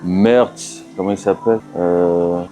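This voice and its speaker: A man's voice: low-pitched, steady tone, slow pace, mellow, soft background music. A man in his thirties from France, a professional fighter, answering interview questions casually, with many fillers and restarts. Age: 30s. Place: fight event venue.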